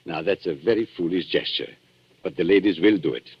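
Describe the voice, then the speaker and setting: An actor playing a suave, cynical antique dealer and blackmailer in a 1940s radio thriller, mock-courteous and theatrical. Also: male voice, low-pitched, moderate pace, breathy edge. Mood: smooth menace. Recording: muffled, noisy vintage 1940s radio recording.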